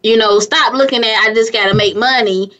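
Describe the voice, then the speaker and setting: A woman who grew up in California with a squeaky voice, hosting a casual motivational talk show, chatty and energetic with frequent laughs and restarts. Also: fairly quick, dull audio.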